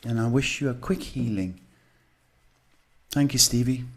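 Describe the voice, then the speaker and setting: A man hosting live psychic readings, talking casually and haltingly as he advises people, often checking in with a short questioning word. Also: medium pitch. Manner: halting, repeats "okay" as a check. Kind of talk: live advice to callers, casual spiritual talk.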